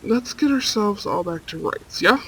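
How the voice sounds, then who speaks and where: Handsome vampire played by a man for roleplay ASMR: slightly high male voice, unhurried, soothing, breathy, seductive and protective. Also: lively intonation, pushed and bright, heavily acted, rough recording. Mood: caring, reassuring. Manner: soothing, breathy murmurs, often dropping to whispers. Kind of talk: roleplay ASMR, intimate and soft-spoken. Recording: faint storm noise behind. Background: rain and thunder.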